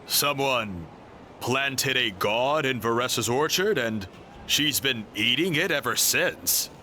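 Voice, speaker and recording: A man voicing a friendly, knowledgeable man in a video game who explains things calmly. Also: mid-range voice, slow, slight background noise, full character voice. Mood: explaining. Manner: calm and explanatory. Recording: game audio with faint music behind.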